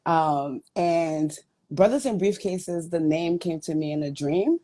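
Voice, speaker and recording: Low-pitched woman from Guatemala, raised in the Bronx, guest owner, earnest storyteller. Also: unhurried, dark tone. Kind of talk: telling her story in a live chat.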